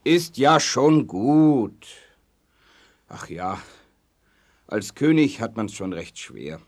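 A man's voice: medium pitch, sing-song, extremely slow, darkish tone, heavily acted. A man playing a weary, kindly, somewhat bemused king in a children's audio play. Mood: exasperated.

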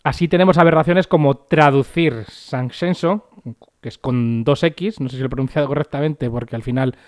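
A man's voice: mid-range voice, normal speed, darkish tone, no echo, studio quality. A podcast host with a Madrid Spanish accent, a man explaining things in a wry, chatty way and laughing at his own jokes. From Madrid, Spain.

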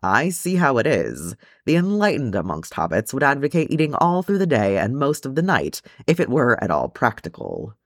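A man voicing a hearty, good-humoured, proud dwarf in a storybook reading, with measured, old-fashioned phrasing. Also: mid-range voice, huge pitch swings, dry recording, clean studio sound, voice pushed up. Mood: amused, hearty.

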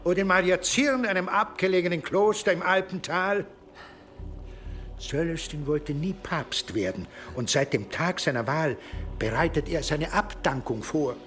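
An actor in a radio play playing a powerful medieval archbishop, accusing and mocking in a deliberate manner. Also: male voice, a little high, expressive, fairly bright tone, soft background music. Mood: triumphant.

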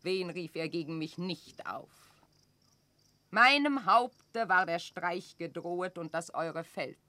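An actress playing a haughty, suspicious reigning queen in a classical radio drama, with measured, imperious declamation. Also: on the low side, animated, bright, forceful tone, no echo, full character voice. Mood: accusing, scornful. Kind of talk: classical German stage drama radio play.

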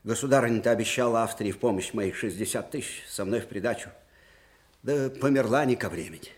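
An actor playing a proud old Russian field marshal, fiery and sardonic, in theatrical, emphatic radio drama style. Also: male voice, mid-range voice, fairly quick, lightly acted. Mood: musing. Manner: theatrical, emphatic, with repeated phrases. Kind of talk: Soviet-era radio drama acting.

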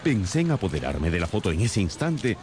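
Un actor que interpreta a un detective brillante, formal y preciso en una radionovela. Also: male voice, lowish voice, very animated, a little fast, background noise. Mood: confident. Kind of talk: radio drama acting.

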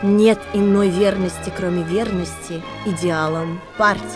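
A woman's voice: lowish voice, steady tone, a little slow, natural voice. An actress playing a zealous woman party propagandist, declaiming fervently in an audio drama with music behind her. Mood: devout zeal. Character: zealous female party propagandist denouncing vice. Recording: clear music bed under voice.

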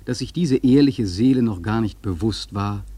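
A man narrating a literary radio drama from a script in a mellow, husky voice. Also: on the low side, moderate pace.

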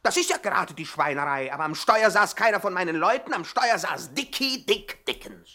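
An actor in a 1960s German radio play, playing an irritable, sly Chicago gang boss who curses and exclaims. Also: male voice, high-pitched, animated, brisk, pushed and bright. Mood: defensive. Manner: cursing, exclamatory, mock-polite.

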